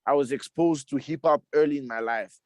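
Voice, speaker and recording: A man's voice: medium pitch, a little fast, no room sound, clean studio sound. A man, a musician guest, talking casually and repeating himself, often saying "you see". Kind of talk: casual conversation.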